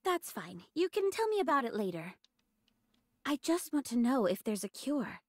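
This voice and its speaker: Stoic game squad leader, high husky voice, terse, matter-of-fact, dry recording. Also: female voice, sing-song, normal speed, no room sound. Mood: brusque, businesslike. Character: brusque, stoic young woman squad leader. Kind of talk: video game voice acting. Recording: dry studio voice, faint game music.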